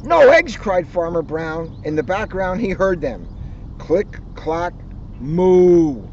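A man's voice: high-pitched, sing-song, slow, a little mellow. An older male police officer reading a children's story aloud outdoors, expressively and dramatically, with joking asides. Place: outdoors on an open grassy lawn. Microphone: camera a short distance away. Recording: muffled outdoor recording with background noise.

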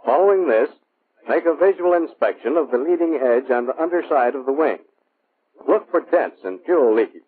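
An American man reading scripted instructional narration for a training film in a measured, clipped, instructive manner. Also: fairly high pitch, lively intonation, husky. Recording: muffled, phone-like vintage military film soundtrack.

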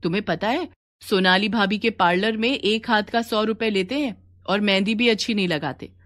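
A woman's voice: mid-range voice, moderate pace, a bit bright, lightly acted. A woman voicing a kind, encouraging married neighbour in a cartoon story.

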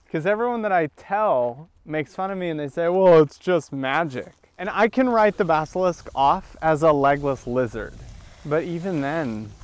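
A man in his twenties chatting casually and excitably as he hosts an outdoor vlog, rambling along into a lapel mic. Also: mid-range voice. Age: 20s. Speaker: vlog host and reptile enthusiast. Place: outdoors in open fields, some in a parked car. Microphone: clip-on lapel mic.

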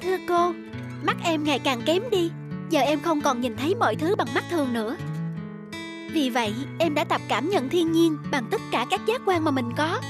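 A woman voicing a sweet, earnest young schoolgirl in a cartoon, polite and softly sincere. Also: sits high, animated, moderate pace, over music. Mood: earnest wonder. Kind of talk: animated cartoon dubbing.

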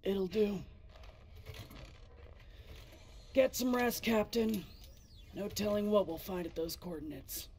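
An actress playing a gruff, guarded, foul-mouthed woman spaceship pilot, speaking bluntly with a husky voice in a video game. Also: mid-range voice, moderate pace, mellow, a little hiss or hum, lightly acted. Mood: businesslike. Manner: blunt, terse, sardonic. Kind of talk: naturalistic video game acting.

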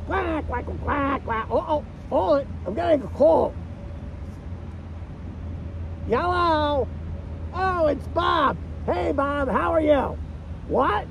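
A man voicing a goofy, wisecracking cartoon duck comedian in a silly, creaky voice, delivering one-liners. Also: very high-pitched, slow pace, a bit bright, slight background noise, exaggerated voice, rough recording. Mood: chatty, gullible. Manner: silly, punctuates jokes with quacking. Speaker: stand-in comedy host. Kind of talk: one-liner joke routine with quacks.

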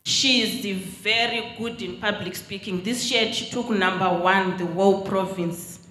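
A woman with a Zimbabwean accent giving public testimony on a handheld microphone, pausing between short phrases. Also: mid-range voice, steady tone, a bit bright, poor audio. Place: large hall with congregation.

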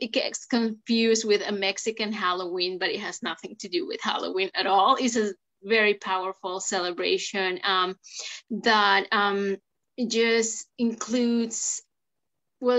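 A woman's voice: medium pitch, fairly bright tone. A woman from El Salvador, giving an informal, enthusiastic artist talk with some searching for words. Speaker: visual artist and art instructor. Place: indoors on a video call. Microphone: video call microphone.

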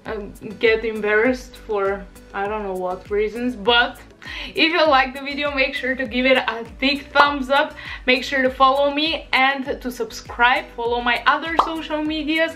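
Bulgarian teen girl rambling to her camera, high voice, lots of ums and giggles, faint music under it. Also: steady tone, unhurried, pushed and bright. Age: teens. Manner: bubbly, giggly, rambling with fillers. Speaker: vlogger. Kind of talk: casual chat to camera. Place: small bedroom, indoors. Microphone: camera in front.

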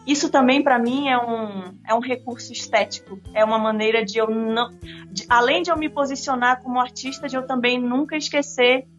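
A woman's voice: fairly high pitch, fairly quick, highs cut off. A woman in her thirties with a Manaus Brazilian accent, talking casually in an interview over a video call. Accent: Manaus Brazilian Portuguese. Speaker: interviewee, singer. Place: indoor room on a video call. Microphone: phone or computer on a video call. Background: music.

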